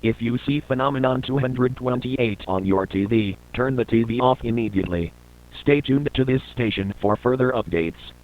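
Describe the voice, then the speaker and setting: Man, emergency alert announcer, mid-pitched, formal, flat delivery, thin band-limited broadcast audio. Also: normal speed, phone-quality sound, slight background noise.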